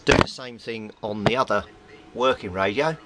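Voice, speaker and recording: A man's voice: on the low side, normal speed, a crowd in the background. A man giving an informal, methodical workbench explanation as a hobbyist repairer. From Britain. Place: small indoor home workbench. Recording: clearly noisy home recording.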